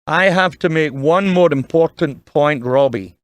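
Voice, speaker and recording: A man playing a kindly, earnest dying father in an audio drama, gently and solemnly advising his young son. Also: fairly high pitch, unhurried, dry recording, lightly acted. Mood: kindly, serious.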